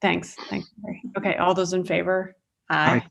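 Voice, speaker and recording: Female meeting chair, low-pitched and flat in melody, conversational, halting, on a video call. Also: gravelly. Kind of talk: informal procedural meeting talk. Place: remote video conference call.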